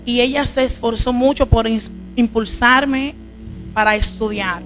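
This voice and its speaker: A woman's voice: on the high side, fairly even intonation, measured pace, fairly bright tone, soft background music, low-quality recording. A woman from the Dominican Republic with a Dominican accent, a preacher telling her life story in an interview, earnest and emotional. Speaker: guest, preacher. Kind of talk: testimony interview.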